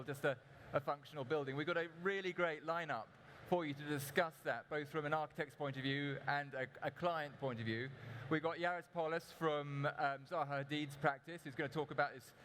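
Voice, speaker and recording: Upbeat male chair, higher-pitched voice, faint room noise behind, conference hall. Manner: enthusiastic, chatty, warm introductions. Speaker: session chair. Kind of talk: conference panel introduction.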